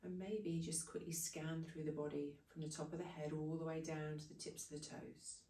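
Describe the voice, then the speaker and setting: A woman leading a guided breathing meditation, giving soothing, unhurried instructions in short phrases. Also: deep voice, level tone, warm tone. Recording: echoey room, distant from microphone.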